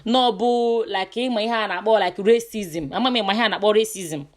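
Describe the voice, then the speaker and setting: A woman's voice: medium pitch, fast. A woman chatting casually on a call, switching between two languages. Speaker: daughter interviewing her mother by phone. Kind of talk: casual phone chat mixing in English.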